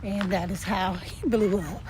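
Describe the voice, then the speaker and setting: Hoarse winded older woman walking outdoors. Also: medium pitch, steady tone, measured pace, a little mellow, a little hiss or hum. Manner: rambling, chatty, frequent fillers. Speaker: vlogger. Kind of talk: casual chat while walking. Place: outdoors in a park field.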